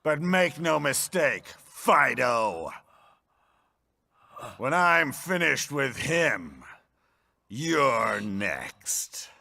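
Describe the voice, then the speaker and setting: A bright, forceful, gravelly villain for a video game. He is brash and domineering, gloating theatrically in slow, wide-swinging phrases. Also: mid-range voice, sing-song, full character voice. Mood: menacing, mocking. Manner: theatrical, taunting, bombastic. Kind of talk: dramatic video game cutscene acting.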